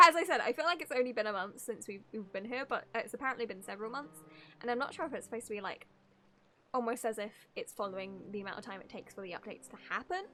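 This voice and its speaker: Bright, higher-voiced woman streamer, chatting casually. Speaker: streamer reacting to a video game. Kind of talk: casual live reaction commentary.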